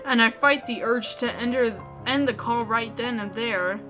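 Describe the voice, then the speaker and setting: A woman reading a story aloud in an expressive, theatrical way. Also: a little high, normal speed. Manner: theatrical, emotive. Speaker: video game player reading a visual novel aloud. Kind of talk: expressive dramatic read-aloud narration. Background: background music.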